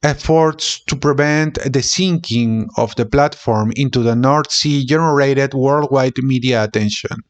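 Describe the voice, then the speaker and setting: A man reading academic text aloud as an amateur narrator, with deliberate, halting phrasing. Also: medium pitch.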